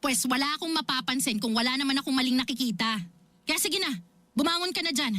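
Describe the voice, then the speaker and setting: An actress playing a worried, nagging older sister in a radio drama, scolding and insistent with plenty of emotion. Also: female voice, sits high, quick pace, sharp tone, no room sound. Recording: music behind the voice.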